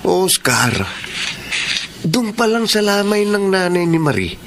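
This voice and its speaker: Very high-pitched, meddling male buddy. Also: big pitch swings, slow, a bit bright, a little hiss or hum, exaggerated voice. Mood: suspicious, urging. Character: meddling, suspicious male buddy, gossipy and insistent. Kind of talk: Filipino radio-style drama acting.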